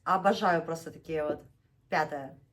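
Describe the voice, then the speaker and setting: A woman's voice: sits low, moderate pace. A young woman hosting a knitting vlog, chatting casually and gushing over yarn, laughing often and drawing out her words when she names colors. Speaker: knitting vlogger showing yarn. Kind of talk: casual unboxing chat.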